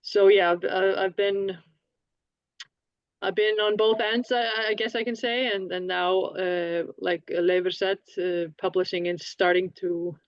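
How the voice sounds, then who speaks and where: A woman's voice: mid-range voice, steady tone, unhurried, no room sound. A woman introducing her music company on a panel, chatty with fillers and restarts, talking over a video call. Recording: dry, dull lo-fi call audio.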